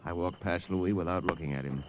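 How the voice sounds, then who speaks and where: A man playing a steady, tough American intelligence lieutenant who narrates a 1950s radio drama tersely. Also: low-pitched, a little fast, noisy background, lightly acted. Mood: tense narrating. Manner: terse, hard-boiled. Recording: vintage radio recording with loud music.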